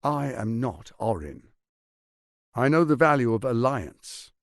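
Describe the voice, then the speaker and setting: A man's voice: medium pitch, sing-song, slow, dry recording, clean studio sound. A man playing a suave, scheming, arrogant tyrant lord in a video game, with a pompous, silky, theatrical delivery. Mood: persuasive.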